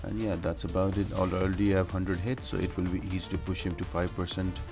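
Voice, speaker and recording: A man's voice: sits low, level tone, fairly quick, over music. A man giving game walkthrough commentary in an explanatory, matter-of-fact manner, as the host of a guide.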